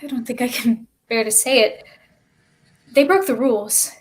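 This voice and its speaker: A woman's voice: a little high, normal speed, close to an everyday voice. A woman acting a gloomy, fearful child in a staged play reading, grave and hesitant. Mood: reluctant. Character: stunned, gloomy child named Finn, fearful and reluctant.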